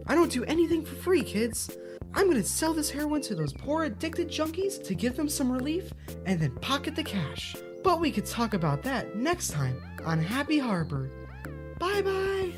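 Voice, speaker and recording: Woman playing a high, lively, cheerful kids'-show character. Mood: sing-song, upbeat. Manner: sing-song, addresses listeners like a children's show host. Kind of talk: children's show parody audio drama.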